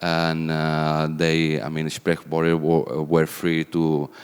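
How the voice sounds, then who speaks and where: Male composer, extremely low smooth voice, slow and halting, hall echo. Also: completely flat delivery. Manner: hesitant, many fillers. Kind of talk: panel talk. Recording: reverberant hall.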